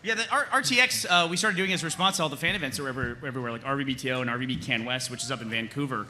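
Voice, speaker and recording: A man's voice: medium pitch, racing. A man talking casually as a panelist, answering audience questions at a live convention event. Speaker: panelist and cast member at a fan convention. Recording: some background noise, live convention panel.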